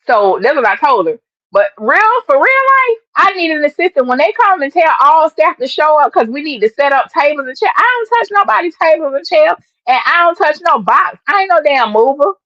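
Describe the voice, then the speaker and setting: A woman's voice: high voice, sing-song, fairly quick, a bit bright, no room sound. A woman hosting her own live stream, chatting emphatically and addressing her viewers directly. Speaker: livestream host and sports fan. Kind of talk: casual live-stream chat. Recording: dull lo-fi stream audio.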